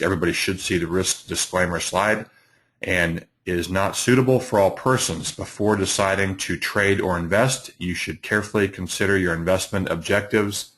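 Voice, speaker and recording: A middle-aged man, slightly hoarse, hosting a live trading webinar with many fillers and restarts. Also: deep voice, a bit bright. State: hoarse from allergies. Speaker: trading educator, webinar host.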